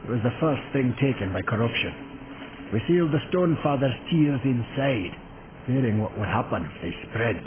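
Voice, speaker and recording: An actor playing a jovial, boastful maker in a fantasy video game, with a folksy, wry way of talking. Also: male voice, medium pitch, animated, moderate pace, lightly acted. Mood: grave. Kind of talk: video game cutscene acting. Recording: tinny band-limited audio with noisy ambience.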